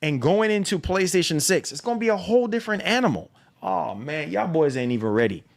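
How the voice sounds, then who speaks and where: A man's voice: high-pitched, lively intonation, fairly quick, vocal fry. A man in casual livestream chat, speaking emphatically in slang and repeating himself as he goes. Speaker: livestream host.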